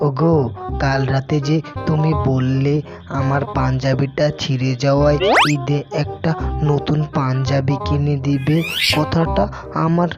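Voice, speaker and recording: A man's voice: medium pitch, level tone, a little slow, close to an everyday voice. A man voicing a foolish, cheeky husband in a comic cartoon, with exaggerated wisecracking delivery. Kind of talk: comic cartoon joke dialogue. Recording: dull lo-fi audio under loud music.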